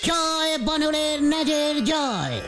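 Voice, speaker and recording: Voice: high voice, lively intonation, takes it slowly, heavily acted. A performer playing a bossy, boastful, bumbling cartoon uncle who exclaims, repeats himself and mangles English words, with music underneath. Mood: theatrical. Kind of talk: exaggerated Bengali cartoon dubbing. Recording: background music under dialogue.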